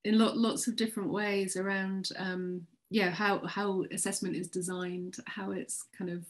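A woman's voice: mid-range voice, level tone, measured pace. A woman around forty responding informally and a little hesitantly in an academic discussion on a video call. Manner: hesitant, conversational. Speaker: seminar speaker, educational developer. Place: home room on a video call. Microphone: laptop mic on video call.

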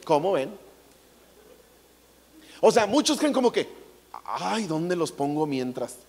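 Brief: jokey Mexican preacher, high-pitched man, long hall reverb. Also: big pitch swings, takes it slowly, a little mellow, slightly rough. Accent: Mexican Spanish. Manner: playful, jokey, acts out quotes. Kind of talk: comedic stage sermon. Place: large theater stage. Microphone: handheld mic.

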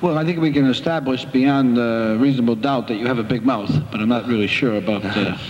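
An older man presiding as a judge in a courtroom, speaking in a measured, stern, lecturing way. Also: medium pitch, highs cut off. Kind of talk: courtroom proceedings. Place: municipal courtroom. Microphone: bench microphone. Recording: reverberant courtroom, background noise.

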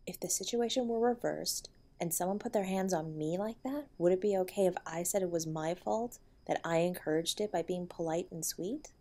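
A woman playing a protective wife, low breathy voice. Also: normal speed, hardly any pauses, warm tone. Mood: gently reassuring. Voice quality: breathy, often dropping to a whisper. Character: protective loving wife comforting and defending her husband. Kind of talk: intimate audio roleplay acting.

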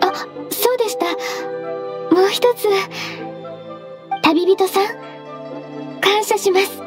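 Gentle diligent anime game secretary girl, super high voice, slow polite formal talk. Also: over music. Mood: grateful, warm. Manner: polite, formal, soft-spoken. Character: gentle, diligent young half-beast secretary woman, polite and earnest.

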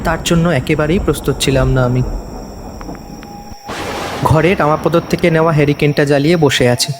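Male narrator, high voice; tense, hushed first-person horror delivery. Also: fairly even intonation, a little fast, noisy background. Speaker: narrator and lead teacher.

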